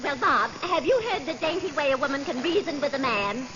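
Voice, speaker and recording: A woman acting out a man-chasing comic spinster whose very high voice swoops up and down with every gag. She comes through a muffled, lo-fi old broadcast with clear hiss behind her. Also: animated, brisk. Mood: man-hungry. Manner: shrill, swooping comedic delivery. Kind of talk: 1940s radio comedy acting. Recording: dull lo-fi 1940s radio broadcast with hiss.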